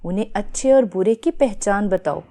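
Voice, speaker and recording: A woman playing a calm, sensible mother who reassures and advises a friend in a children's moral story. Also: mid-range voice, natural voice. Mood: advising. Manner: reassuring, instructive.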